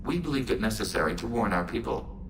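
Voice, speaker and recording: A man playing a calm, literal-minded machine android in a video game, speaking formally and without emotion through a robotic filter. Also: lowish voice, quick pace. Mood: flat, formal. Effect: synthetic robot filter. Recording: clear background noise and ambience.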